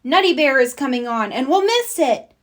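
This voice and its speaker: A woman's voice: high voice, lively intonation, moderate pace, pitched above the performer's own voice. A woman voicing a young boy bear cub who grumbles and protests, in a children's storybook. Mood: pleading.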